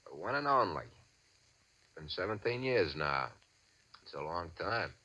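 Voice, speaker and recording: A sharp, cocky racketeer. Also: male voice, low-pitched, big pitch swings, unhurried, full character voice. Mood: smug, domineering. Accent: American. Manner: tough gangster slang, boastful. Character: cocky, greedy small-time racketeer man with a swaggering streak. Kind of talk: 1940s American radio crime drama acting. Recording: dull, band-limited old radio recording.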